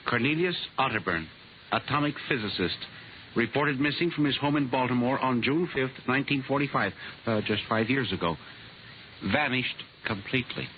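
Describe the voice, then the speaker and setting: A man's voice: mid-range voice, moderate pace, close to an everyday voice. An actor playing an earnest, timid male records clerk with hesitant, deferential speech in a 1950s radio drama. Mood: eager, urgent. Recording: muffled, noisy old radio broadcast.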